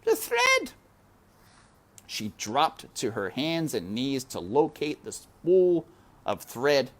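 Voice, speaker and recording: A woman's voice: rumbling low, lively intonation, slow, darkish tone. A woman storyteller reading a children's book aloud expressively, with chatty asides.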